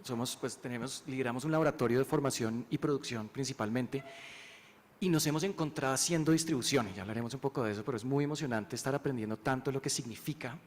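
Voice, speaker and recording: A man's voice: mid-range voice, quick pace, slight background noise. A man from Colombia introducing himself on a panel, enthusiastic and often restarting his sentences. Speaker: panelist, co-director. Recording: reverberant hall with faint ambience.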